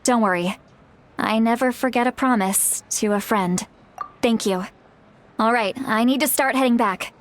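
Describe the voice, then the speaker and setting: A woman's voice: fairly high pitch, normal speed, a little hiss or hum, lightly acted. A woman playing an earnest, responsible young female warrior in a video game, speaking sincerely and resolutely. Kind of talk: English video game voice acting. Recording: soft game music behind the voice.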